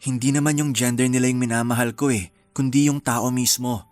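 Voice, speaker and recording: An actor playing an earnest, candid young boyfriend in a Filipino radio drama. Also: male voice, mid-range voice, fairly even intonation, brisk, close to an everyday voice. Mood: earnest, loving. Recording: music under the dialogue.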